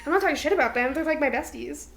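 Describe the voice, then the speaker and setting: A young woman with a notably high, bright voice talks as a podcast co-host. She chats casually and tells stories in loose, slangy phrasing, with "like" dotted all through. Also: rapid-fire, gravelly, a little hiss or hum. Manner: informal, slangy, heavy use of "like". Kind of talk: casual chat and storytelling between friends.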